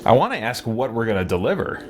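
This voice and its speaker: A man's voice: mid-range voice, big pitch swings, quick pace, heavy background noise. A man giving casual gameplay commentary as a host, thinking aloud. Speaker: let's play host.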